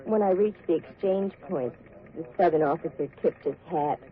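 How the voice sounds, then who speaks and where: An actress playing a weary young officer's wife in a 1940s radio drama, subdued, hesitant and earnest. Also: female voice, lowish voice, moderate pace, natural voice. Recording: tinny, noisy vintage radio recording.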